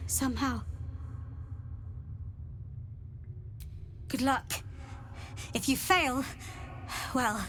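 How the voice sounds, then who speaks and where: A woman's voice: high voice, a little hiss or hum. A woman playing a starving, confused young female vampire captive, speaking in strained fragments in a dramatic video game style. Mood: faint hope.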